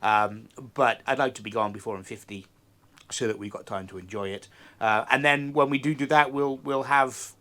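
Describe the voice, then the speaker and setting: Man, 40s, relaxed rambling interviewee, fillers and false starts. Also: medium pitch, moderate pace. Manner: rambling, many fillers and restarts. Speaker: interviewed yacht owner. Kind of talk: casual interview. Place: outdoors on a moored yacht.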